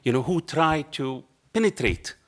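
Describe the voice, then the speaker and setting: A man's voice: medium pitch, animated, normal speed, fairly bright tone. A middle-aged man with a strong Arabic accent, speaking on a panel and telling a story in which he acts out both sides of a conversation. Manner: passionate, non-native phrasing. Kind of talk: anecdotal public talk. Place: dark lecture hall panel table. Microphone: table microphone.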